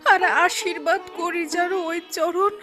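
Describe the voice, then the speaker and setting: A woman's voice: very high-pitched, steady tone, unhurried, full character voice. A woman acting in a radio drama as a tearful village relative giving a blessing. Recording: loud music over the voice.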